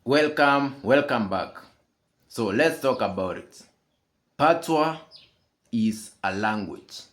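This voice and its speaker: A man hosts a scripted commentary in a measured, explanatory tone. He speaks slowly, at a middle pitch. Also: animated, a bit bright. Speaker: channel host.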